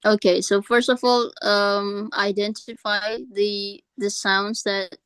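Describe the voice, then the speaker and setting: A woman's voice: medium pitch, flat delivery, slow, a bit bright, clear, resonant tone, no room sound. An Indonesian woman hosting a class, moving between English and Indonesian with some hesitations. Her voice sits in a mid range and sounds dry and close. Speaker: class host.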